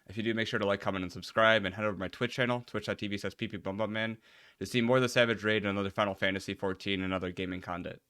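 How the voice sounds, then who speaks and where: A man streaming a game, chatting casually with friends in an excitable, jokey way. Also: lowish voice, no echo, studio quality. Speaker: streamer, host of a raid playthrough. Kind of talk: casual gaming chat with friends. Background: game music.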